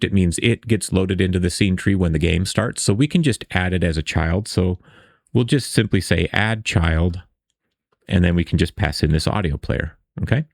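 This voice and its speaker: A man walks through a coding tutorial in a casual, explanatory way. His voice sits fairly low and often crackles with vocal fry. It is recorded close and very dry, with no room sound. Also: no room sound. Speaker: tutorial instructor.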